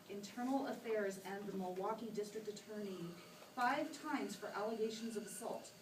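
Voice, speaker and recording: A woman's voice: a little high, darkish tone, echoey room, far from the mic, a little hiss or hum, natural voice, rough recording. A woman voicing a knowing, cynical ghost who explains things matter-of-factly in a puppet show. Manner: lecturing, explanatory.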